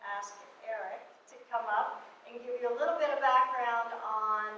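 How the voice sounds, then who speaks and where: A woman hands a public meeting over to the next speaker, drawing out her phrases slowly in a somewhat high voice. She sounds far from the mic in a very echoey meeting room with faint noise. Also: bright, forceful tone, lo-fi sound. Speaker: meeting facilitator. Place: meeting room in an events complex.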